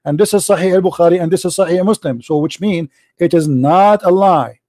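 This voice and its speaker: A man's voice: sits high, a little fast, mellow. Combative man, native Arabic speaker. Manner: combative, interrupting, repeating himself. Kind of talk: heated online religious debate.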